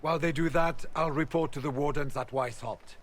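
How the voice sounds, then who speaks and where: A man playing a stern, dutiful veteran warrior in a video game, speaking in a grave, measured way. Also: a little high, lightly acted.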